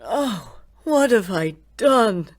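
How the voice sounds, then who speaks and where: A woman voicing a frightened, guilt-ridden young man who shouts in panic in a storybook. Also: sing-song, extremely slow, full character voice. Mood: anguished. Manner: shrill, panicked outbursts. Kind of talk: storybook character voice.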